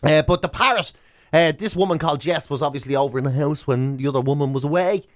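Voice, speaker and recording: A man's voice: a little high, quick pace, dry recording. An Irish man co-hosting a radio show, telling comic stories in casual banter and acting out the voices in them. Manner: animated storyteller, acts out quoted voices.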